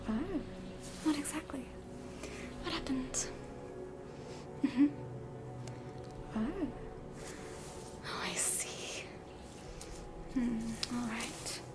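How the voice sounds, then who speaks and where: Australian woman, high-pitched, half-whispered, soft questioning manner, ASMR roleplay, loud music behind. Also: very slow, long pauses. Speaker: ASMR performer.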